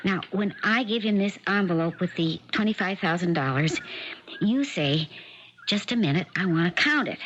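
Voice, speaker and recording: Bossy, ambitious wife, dull old-radio sound. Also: female voice, fairly low pitch, animated, moderate pace, a bit bright, a little hiss or hum. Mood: scheming, instructing. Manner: bossy, hard-edged, commanding. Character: domineering, ambitious wife, shrewd and demanding. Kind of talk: 1970s radio mystery drama acting. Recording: dull, band-limited 1970s radio broadcast.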